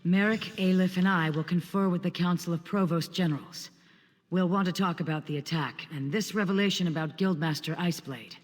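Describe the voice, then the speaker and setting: An actor playing a formal, solemn guild comrade in a fantasy video game, speaking with grave, dramatic urgency. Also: male voice, sits high, brisk. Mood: troubled, solemn. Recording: long reverb with faint music.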